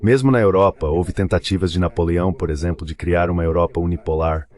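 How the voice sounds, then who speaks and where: A man with a Brazilian Portuguese accent reading translated political commentary as a voice-over in a measured, formal, articulate way. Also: low voice, fairly even intonation, a little mellow. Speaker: dubbed translation voice of an interview guest.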